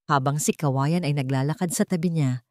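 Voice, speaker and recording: A woman's voice: deep voice, fairly quick, a little mellow, no room sound, studio quality. A woman reading a scripted, dramatic story as its narrator.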